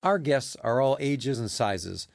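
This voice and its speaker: A male charity president makes a scripted radio appeal. His mid-pitched voice moves up and down animatedly in a dry, close recording. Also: expressive, unhurried, vocal fry, dry recording. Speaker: charity mission president, guest.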